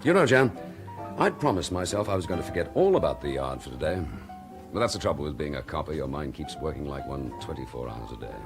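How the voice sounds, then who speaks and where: A man's voice: extremely low pitch, big pitch swings, fast talker, over music, heavily acted. An actor playing a troubled male detective in a radio drama, understated and wry. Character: worried male detective, dry and self-doubting. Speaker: police detective. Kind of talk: 1960s radio drama acting.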